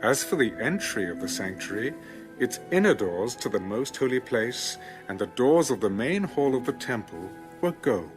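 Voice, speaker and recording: A man narrates scripture in a formal, measured reading with a lively, varied pitch. Instrumental music plays clearly behind his voice. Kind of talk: scripted audio Bible narration, read aloud.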